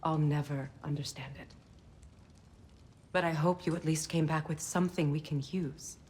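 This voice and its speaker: A cool, guarded goddess. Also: female voice, deep voice, fairly even intonation, moderate pace, darkish tone, slight background noise. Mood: wary.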